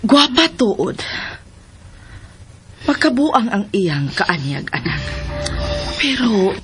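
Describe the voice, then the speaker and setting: Curious mother, expressive radio drama, unhurried, lively intonation, soft music underneath. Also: mid-range voice. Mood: indulgent. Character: mother chatting with her grown son, curious. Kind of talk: Philippine radio drama acting.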